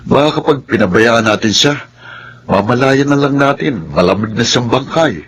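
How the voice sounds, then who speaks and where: A man's voice: medium pitch, expressive, normal speed, fairly bright tone, a little hiss or hum, lightly acted. Actor as an earnest, caring young man. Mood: concerned. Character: concerned, kind-hearted young male friend. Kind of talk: Filipino radio drama acting.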